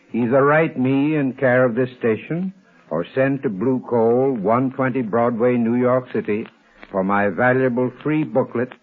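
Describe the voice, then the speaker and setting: A man playing an earnest, courteous heating expert, delivering a formal, deliberate scripted 1930s radio ad. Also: medium pitch, lightly acted. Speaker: advertising spokesman, heating expert. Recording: muffled 1930s radio broadcast.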